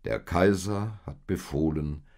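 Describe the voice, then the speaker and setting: Josef, a steady husband, read by a low-voiced man. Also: slow. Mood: firm. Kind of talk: storybook reading.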